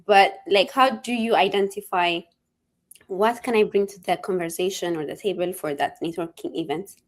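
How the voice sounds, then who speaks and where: A woman's voice: on the low side, moderate pace. Eager woman hosting an interview. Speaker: host. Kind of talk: conversational interview.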